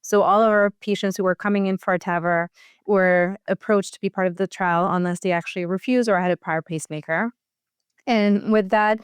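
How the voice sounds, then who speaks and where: A woman speaks as a medical expert in an interview, in a conversational style. Her voice is exceptionally clean and smooth, at an average pitch, with a dry sound. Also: dry recording. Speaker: guest, interventional cardiologist.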